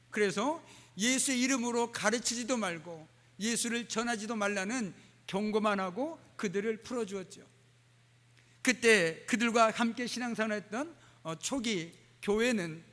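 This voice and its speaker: A male pastor preaches to his congregation in a fairly high voice, pausing often with "uh" and slipping in dialect phrases. The sound carries a long church-hall reverb. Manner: warm preaching with dialect phrases and frequent "uh" fillers. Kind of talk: sermon. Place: church sanctuary.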